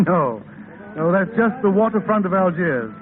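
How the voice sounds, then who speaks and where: A man playing a suave, persistent gentleman traveller with a smooth, courteous manner in a 1930s radio drama. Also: high voice, sing-song, unhurried, a crowd in the background. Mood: gallant. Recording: tinny, noisy 1930s radio broadcast.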